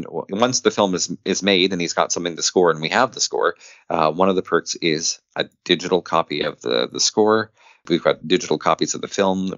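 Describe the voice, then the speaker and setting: A man's voice: sits low, a bit bright, dry recording, highs cut off. A man chatting casually as an interview guest, talkative and earnest, with enthusiasm for his work. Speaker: guest, independent filmmaker. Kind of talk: casual podcast interview chat.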